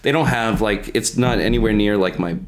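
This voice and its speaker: A man's voice: on the low side, a little fast. A man chatting casually as a podcast co-host, profane and self-mocking in a crude comedic way.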